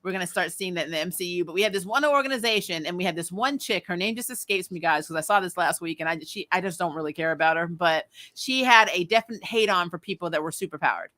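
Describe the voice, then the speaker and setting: A woman in a casual chat as a review show co-host, telling things in a slangy, animated way and acting out quotes. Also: fairly low pitch, fast talker, few pauses.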